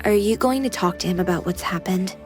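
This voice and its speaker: A woman's voice: lowish voice, brisk, lightly acted. A woman voicing a playful young female AI companion in a sci-fi visual novel, polite with a teasing edge. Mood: calm, curious. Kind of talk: visual novel game voice acting. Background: music.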